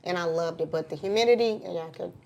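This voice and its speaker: A woman with a fairly low voice tells a story in an easygoing podcast chat. She acts out what other people said as she goes. Faint hiss sits behind her. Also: fast talker, vocal fry, dull audio. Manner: animated storyteller, acts out quotes. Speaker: podcast co-host.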